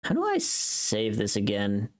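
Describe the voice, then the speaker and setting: Livestream host, lively swinging pitch, unhurried pace, casual rambling chat, thinking aloud.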